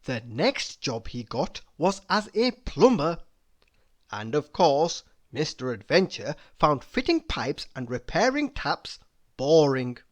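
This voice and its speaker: This is a storyteller reading a children's book aloud. The pace is slow and deliberate, and the pitch swings widely from phrase to phrase. Key words are stretched out for emphasis. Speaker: storybook reader.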